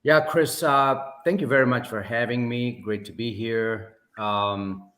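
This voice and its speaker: A man, telling his story as a podcast guest in a measured way, pausing often with fillers. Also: mid-range voice, fairly even intonation, pushed and bright. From Sri Lanka. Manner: measured, many pauses and fillers. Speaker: podcast guest, business owner. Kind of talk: casual interview storytelling. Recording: echoey room.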